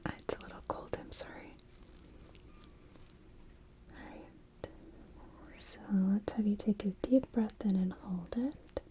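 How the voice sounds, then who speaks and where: A woman whispering softly and breathily in an ASMR medical exam roleplay, playing a gentle, unhurried, reassuring nurse. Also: medium pitch. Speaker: ASMR performer role-playing a night nurse.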